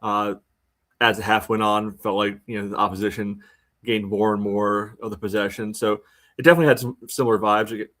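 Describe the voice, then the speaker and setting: A man co-hosting a sports podcast, recapping play casually in halting, piecemeal phrases padded with "you know". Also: lowish voice, fairly bright tone.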